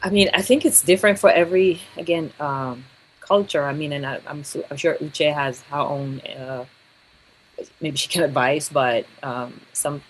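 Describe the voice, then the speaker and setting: A woman from Kenya explaining things in a discussion, thinking aloud in a halting way. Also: deep voice, poor audio.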